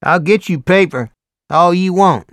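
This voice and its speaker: A man voicing a hulking, tattooed male convict with a Deep South accent, talking in a coarse, bossy drawl. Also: unhurried, fairly bright tone, no echo.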